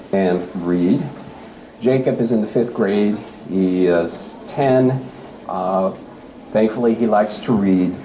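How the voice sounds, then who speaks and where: A middle-aged man speaks to a live gathering as he presents and reads poetry. His delivery is slow and expressive, with a mellow tone and a medium pitch. Faint room noise sits behind him. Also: roomy sound, low-quality recording. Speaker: speaker reading poems at a library event. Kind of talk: public talk with poetry reading. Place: campus center event space. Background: faint ambient noise.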